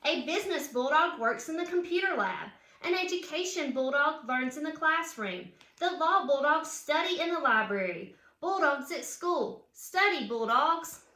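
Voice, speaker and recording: A woman's voice: sits high, lively intonation, moderate pace, sharp tone. A woman reads a children's picture book aloud with chatty asides, putting questions and emphatic cheers to young listeners. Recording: roomy, echoing room sound.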